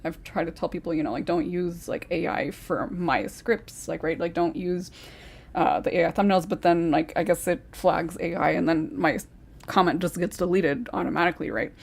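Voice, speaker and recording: A woman's voice: on the low side, fairly even intonation, moderate pace, creaky, husky. A woman who writes scripts, chatting casually on a podcast and rambling with lots of fillers.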